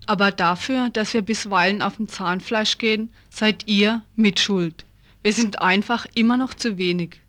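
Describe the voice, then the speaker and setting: A woman's voice: medium pitch, steady tone, moderate pace, fairly bright tone. A woman presenting a scripted radio promo, speaking directly to listeners as a member of the news team. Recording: noisy radio recording with clicks.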